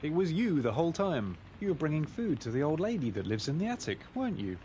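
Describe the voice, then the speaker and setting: A man's voice: fairly high pitch, big pitch swings, fast talker, a little mellow, a little hiss or hum. A man voicing a blunt, inquisitive pig detective in a video game, musing and matter-of-fact. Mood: blunt, accusing. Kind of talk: video game character acting.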